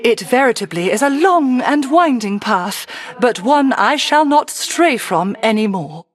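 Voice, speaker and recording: An eccentric, affectionate island witch for a fantasy game. Her voice sits high and swoops freely through a wide, lilting range. She is effusive and sentimental, musing in airy, theatrical, old-fashioned phrases. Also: animated, a little slow. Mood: nostalgic, fond. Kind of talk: theatrical fantasy video game dialogue in archaic English.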